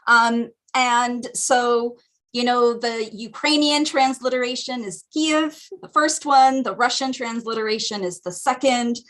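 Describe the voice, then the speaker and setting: A woman's voice: on the high side, fairly bright tone. A woman with an American accent giving an academic lecture as a guest speaker at a community teach-in, explaining points thoughtfully with frequent ums, over a video call.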